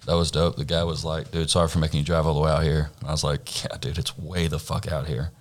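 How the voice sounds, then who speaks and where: A man's voice: a bass voice, little pitch movement, fast talker. A man chatting casually as a podcast guest, laid-back, laughing often and swearing freely.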